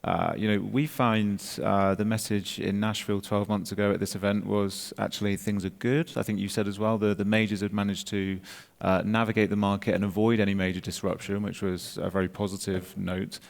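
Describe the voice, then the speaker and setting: A man's voice: on the low side, level tone, brisk, creaky. A man moderating a conference panel, polite and steering questions with some fillers, in a reverberant hall.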